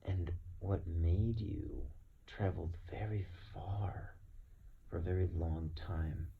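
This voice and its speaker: A man with a very low, gravelly voice speaks slowly and deliberately in a reflective monologue. He leaves long pauses and often sinks into a breathy murmur. The recording is dull, with faint noise underneath. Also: fairly even intonation. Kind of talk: slow reflective philosophical monologue.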